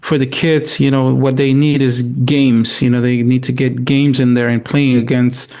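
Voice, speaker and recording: A man talking casually on a sports podcast in a hesitant, halting way. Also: mid-range voice, flat delivery, a little mellow. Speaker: podcast guest or co-host, soccer scout.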